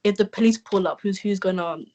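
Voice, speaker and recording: A woman, a podcast guest, speaking hesitantly and often trailing off into a quieter voice. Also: mid-range voice, a little fast. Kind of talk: casual conversational interview.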